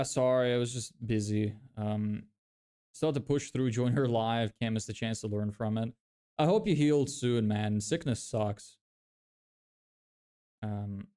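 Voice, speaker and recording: A young man whose native tongue is Lithuanian talks loosely to his livestream audience. His voice sits at an ordinary middle pitch, and his thoughts wander through fillers and false starts. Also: a little mellow, smooth. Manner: rambling, many fillers and restarts. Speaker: livestreamer reading chat. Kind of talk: casual livestream chat.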